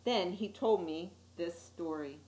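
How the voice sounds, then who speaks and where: A woman reading a children's picture book aloud as an expressive storyteller, with little asides to her listeners. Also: on the low side, slow pace. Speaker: story time reader. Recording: echoey room.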